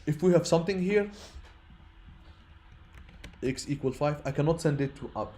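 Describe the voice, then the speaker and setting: A man's voice: on the high side, expressive, moderate pace, warm tone. A man teaching programming informally as a non-native English speaker, explaining step by step, repeating words and checking that people follow. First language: not English, which is a third language. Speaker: programming instructor.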